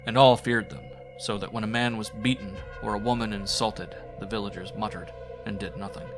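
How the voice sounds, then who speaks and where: Male audiobook storyteller, fairly low pitch, dramatic and brooding scripted horror delivery over music. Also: steady tone, brisk, rough recording. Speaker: audiobook narrator.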